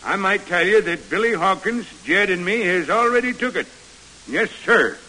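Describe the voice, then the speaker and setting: A blustery old-West trading post keeper with a high voice and folksy frontier drawl. It is 1940s radio drama, drenched in long reverb with clear hiss. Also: male voice, lively intonation, normal speed, pushed and bright, exaggerated voice.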